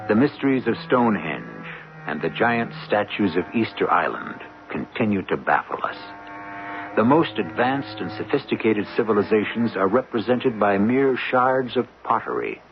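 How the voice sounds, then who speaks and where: A man playing a solemn, ominous mystery host, narrating a radio drama with portentous, deliberate phrasing and dramatic pauses. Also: lowish voice, very animated, gravelly, background noise. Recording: dull vintage radio, music underneath. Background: dramatic music.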